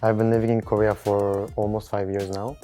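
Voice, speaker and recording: A man in his twenties from Israel, a university student, speaking in a brisk, matter-of-fact way. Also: lowish voice, little pitch movement, warm tone, soft background music.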